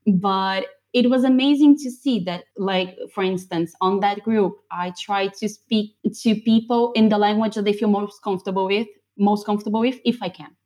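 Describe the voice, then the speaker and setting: A woman's voice: mid-range voice, a little mellow. A woman from Brazil whose first language is Portuguese, speaking English as a guest in a conversational interview with an eager manner. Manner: eager, quick agreeing interjections.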